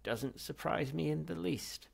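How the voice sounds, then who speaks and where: An ancient, gaunt old ghost scolds in a high, screechy rasp. The voice cracks with gravel. He is scornful and snappish, stretching out words in peevish disapproval. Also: measured pace, full character voice. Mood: stern disapproval. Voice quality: screechy, rasping. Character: ancient, gaunt, querulous ghostly great-grandfather, scornful and sharp.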